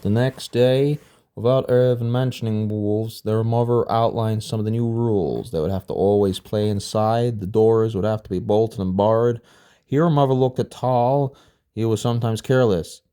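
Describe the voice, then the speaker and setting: Mellow, informal storybook reader. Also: mid-range voice, fairly even intonation, normal speed, clean tone. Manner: informal, stumbles over words and rereads. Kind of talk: read-aloud story narration.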